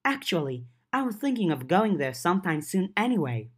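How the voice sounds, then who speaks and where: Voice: mid-range voice, very animated, brisk, slightly rough, pitched above the performer's own voice. A narrator voicing a keen, scheming teenage girl who is defensive and insistent.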